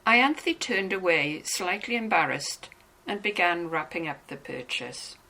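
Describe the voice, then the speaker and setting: A woman's voice: lowish voice, lively intonation, a little slow, pushed and bright, slight background noise, rough recording. A woman narrating a novel as a scripted, dramatized reading.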